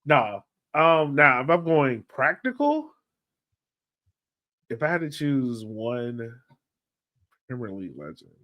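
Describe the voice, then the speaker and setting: A man's voice: mid-range voice, big pitch swings, takes it slowly, long pauses, muffled recording. A man co-hosting a soccer podcast in casual chat, laid-back and thinking aloud, often trailing off. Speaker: podcast co-host.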